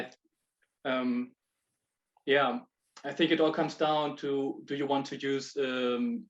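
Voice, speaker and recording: A man presenting his project on a video call, talking in halting bursts with frequent restarts and laughs between points. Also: medium pitch. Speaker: project proposer. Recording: dull lo-fi call audio.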